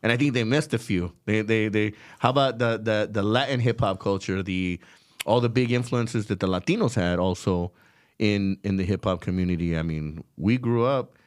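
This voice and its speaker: American man, interview host, slightly low voice, dry studio-close sound, casual and motivational. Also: moderate pace, no echo. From Los Angeles, United States.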